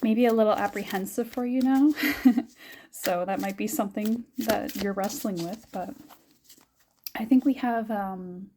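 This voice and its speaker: A young adult woman giving a gentle, musing tarot reading, her voice a little raspy and scratchy, often laughing softly. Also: mid-range voice, a little slow. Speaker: tarot reader. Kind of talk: soft-spoken tarot reading.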